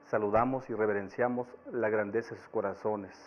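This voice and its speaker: A man from Chiapas whose first language is Tzeltal, speaking Spanish with a Mexican accent as a panelist, giving an academic presentation at a measured, explanatory pace. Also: on the low side, fairly even intonation, muffled recording, faint music behind. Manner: measured, explanatory, with occasional fillers.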